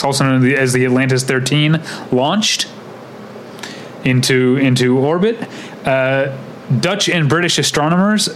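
A man co-hosting a podcast in casual, unscripted chat, speaking haltingly and correcting himself as he goes. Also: medium pitch, a little hiss or hum. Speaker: podcast co-host.

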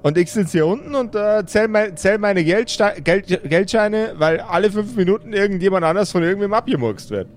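A man's voice: sits high, lively intonation, moderate pace, hardly any pauses, soft background music. A man voicing a grumpy, bossy undead necromancer in an improvised audio play, rambling and blustering in colloquial speech. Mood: exasperated. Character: grumpy, foul-mouthed undead necromancer lord, male, casually bossy.

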